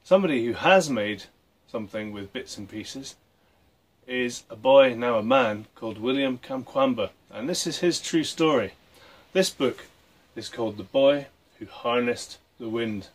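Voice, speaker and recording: A man reading a story aloud for children, with expressive, deliberate phrasing. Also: medium pitch. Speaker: storybook reader and presenter.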